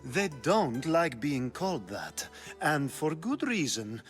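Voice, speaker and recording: A charming young Athenian rogue in a video game, drawling slow and teasing over faint music. His pitch sits a little high and swings widely as he leans into every suggestive line. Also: big pitch swings, a bit bright, full character voice. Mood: sly. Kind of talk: video game cutscene acting.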